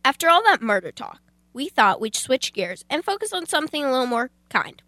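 Lively, higher-pitched female radio host. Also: fairly quick, dry recording, soft background music. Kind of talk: scripted segment introduction.

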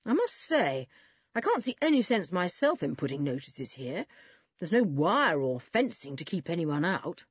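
A woman reads as the sensible eldest boy in a children's adventure. He reasons earnestly and questions things, in a husky voice with lively pitch. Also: normal speed, dry recording, muffled recording. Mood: doubtful.

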